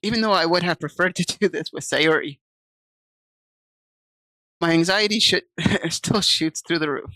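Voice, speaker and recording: A woman's voice: on the low side, measured pace, long pauses, sharp tone, no room sound, low-quality recording. A woman streaming, reading visual novel text aloud and chatting casually with viewers on a desk mic. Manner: chatty, reads game text aloud and answers chat.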